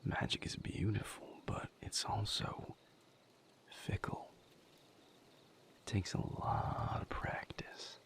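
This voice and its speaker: A man playing a gentle, patient vampire healer in an intimate audio roleplay, speaking softly and reassuringly in breathy vocal fry with long pauses. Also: in a whisper. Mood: calm, reflective. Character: gentle, patient male vampire healer mentoring his mate.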